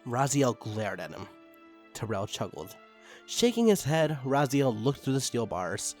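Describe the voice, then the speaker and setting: A man's voice: mid-range voice, expressive, a little slow. A man reading dramatic fiction aloud as a scripted narrator. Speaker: narrator and story reader. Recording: background music under the reading. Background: music.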